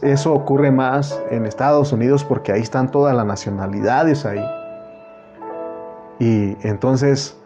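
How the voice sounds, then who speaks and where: Earnest Mexican preacher, music behind. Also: male voice, mid-range voice, dark tone. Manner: earnest, repetitive, addresses listener as brother. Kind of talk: sermon.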